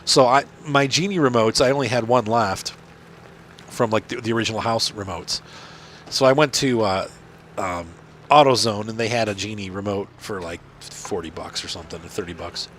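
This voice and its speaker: A man's voice: on the low side, moderate pace, fairly bright tone, a little hiss or hum. Nasal man with a cold, chatty gamer. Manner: rambling, chatty, many fillers and restarts. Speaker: gaming channel host. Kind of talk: casual commentary while playing.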